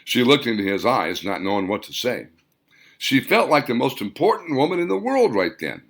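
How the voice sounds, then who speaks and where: A man reading his own novel as an audiobook, with dramatic, expressive storytelling. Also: medium pitch, normal speed, vocal fry. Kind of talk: scripted audiobook narration.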